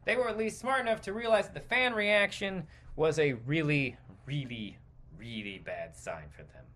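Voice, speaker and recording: A man hosting a podcast, giving a casual, rambling opinion rant with halting, stop-and-start phrasing and informal swearing. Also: fairly high pitch, animated, pushed and bright. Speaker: podcast host.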